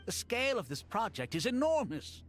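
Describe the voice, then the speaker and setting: Composed, decisive scholar. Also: female voice, on the high side, big pitch swings, faint music behind. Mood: grave, resolute. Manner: formal, deliberate, articulate. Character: composed, decisive scholar leading a risky project. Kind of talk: English video game voice acting.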